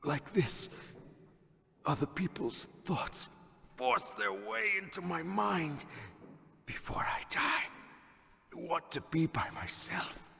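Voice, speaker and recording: An actor playing a dying, bitter psychic villain in a video game, with a raspy, breathy voice and halting delivery. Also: male voice, high voice, sing-song, sharp tone, full character voice. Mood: dying, pleading. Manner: slow, halting, laboured delivery. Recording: long hall reverb.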